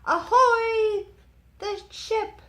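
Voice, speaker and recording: A woman voicing an exuberant young male sailor in a storybook, loud, blunt and exclamatory. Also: extremely high pitch, extremely slow, voice pushed up, full character voice. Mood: excited hailing.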